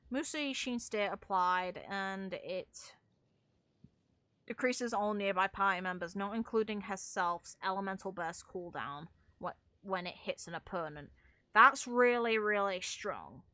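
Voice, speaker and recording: A woman's voice: mid-range voice, sharp tone, dry recording. A woman giving casual, opinionated video game commentary as a streamer, with some casual swearing.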